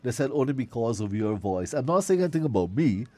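A man with a Guyanese accent and a hoarse voice, hosting a call-in radio show and chatting earnestly with a caller. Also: mid-range voice, sing-song, quick pace, warm tone. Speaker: radio host. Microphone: studio microphone.

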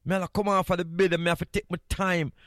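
A man's voice: sits high, level tone, fast talker, a bit bright, no room sound. Jamaican man hosting radio, close dry sound. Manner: playful, candid, repeats phrases for emphasis. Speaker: radio host. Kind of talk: casual morning radio chat.

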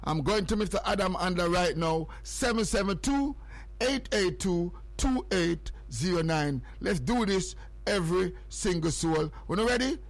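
Jamaican radio host, higher voice. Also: male voice, expressive, normal speed. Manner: repetitive, hypes and repeats phrases and numbers. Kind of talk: morning radio show patter.

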